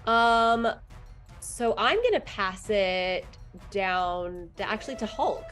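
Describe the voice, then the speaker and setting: A woman's voice: medium pitch, expressive, slow pace, a bit bright, soft background music. A woman chatting casually as a player in a live roleplaying game.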